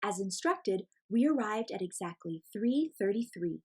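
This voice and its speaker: A woman reading a picture book aloud as an expressive storyteller for children. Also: medium pitch, moderate pace, husky.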